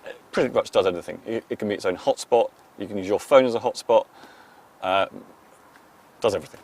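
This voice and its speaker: A man's voice: fairly low pitch, brisk, darkish tone. A man, a technology journalist giving an enthusiastic, informal review of a car's infotainment system. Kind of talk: product review to camera.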